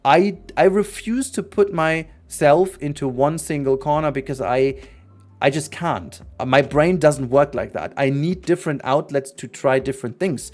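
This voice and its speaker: A man's voice: fairly high pitch, moderate pace, darkish tone, faint music behind. A young man who is not a native English speaker, talking earnestly and rambling in a casual livestream chat, with many restarts. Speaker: streamer.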